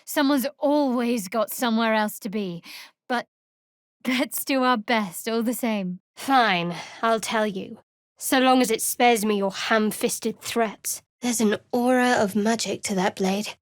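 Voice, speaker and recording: Actress doing a guarded young cleric girl for a fantasy game, highish voice, dry and sardonic, super close clean sound. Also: dry recording, lightly acted. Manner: measured, dry, wry delivery. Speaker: video game voice actor. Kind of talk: fantasy video game dialogue.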